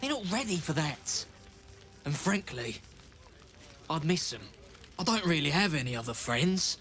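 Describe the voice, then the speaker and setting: A man's voice: on the high side, big pitch swings, unhurried, fairly bright tone, faint music behind. An actor playing a small, sly, sentimental male creature with a whiny, conspiratorial manner. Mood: secretive, fond.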